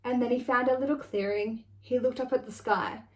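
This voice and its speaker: A woman teacher doing a storytelling read-aloud for young children, expressive and clearly phrased. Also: on the high side, brisk, poor audio. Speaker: teacher reading a picture book to children.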